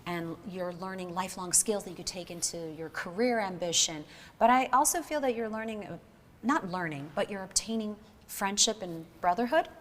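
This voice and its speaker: A woman's voice: medium pitch, normal speed. A woman with an American accent hosting a talk show in a conversational way. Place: reverberant plaza show set. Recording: reverberant hall, faint ambient noise.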